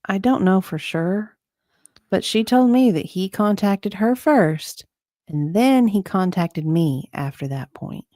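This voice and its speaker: A woman guest on a livestream, reading a deposition part and chatting in an animated, conversational way. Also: medium pitch, takes it slowly, no echo, clean studio sound. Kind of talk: dramatic deposition reading and casual chat.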